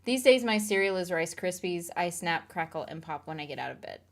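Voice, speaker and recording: A woman's voice: on the low side, normal speed. A woman streamer chatting casually on a live stream, reading viewer comments aloud and laughing along.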